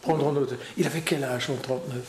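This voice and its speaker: A man's voice: mid-range voice, fairly even intonation, quick pace, a crowd in the background. A man whose first language is German, interviewing in French with short prompting questions. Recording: echoey room, faint noise.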